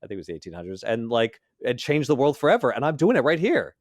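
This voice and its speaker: A man's voice: medium pitch, wildly swooping pitch, quick pace. Regular mid-range guy chatting on a podcast, informal, explains stuff casually, no room echo. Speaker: podcast co-host. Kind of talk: casual chat.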